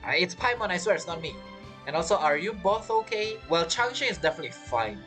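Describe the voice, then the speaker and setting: A man's voice: high voice, big pitch swings, quick pace. A man giving casual, informal gameplay commentary as a streamer, with non-native grammar. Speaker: streamer, gamer. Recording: game music behind the voice.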